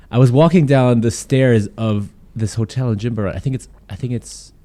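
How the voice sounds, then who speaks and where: A young man from Germany and the UK, a content creator, telling stories as a guest in a casual podcast interview. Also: mid-range voice. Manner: quick, animated storytelling with frequent "like".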